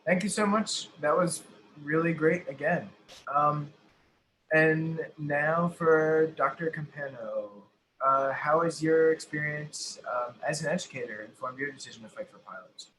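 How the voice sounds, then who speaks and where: A man's voice: on the high side, a little slow, fairly bright tone, low-quality recording. A young man casually moderating a panel discussion over a video call. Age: young adult. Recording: faint noise on video call.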